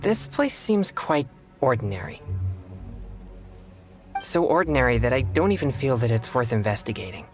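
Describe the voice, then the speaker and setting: A man voicing an earnest, polite teenage boy exorcist in a video game, with formal, measured phrasing. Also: medium pitch, sing-song, soft background music, lightly acted. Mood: thoughtful, focused.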